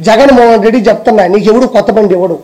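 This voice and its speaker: A man's voice: high-pitched, rapid-fire, mellow, low-quality recording. A man giving mocking political commentary, scornful and full of challenging rhetorical questions.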